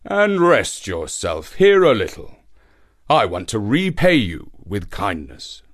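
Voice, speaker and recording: A man voicing a very old male lion who speaks slowly and gravely in a storybook reading. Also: huge pitch swings, full character voice. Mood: reassuring. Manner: slow, deep and grave.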